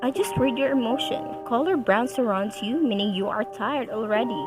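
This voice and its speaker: A woman's voice: on the high side, moderate pace, natural voice. A knowing, matter-of-fact schoolgirl, played by a woman, with music running under the radio play. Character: cool girl who sees emotions as colors.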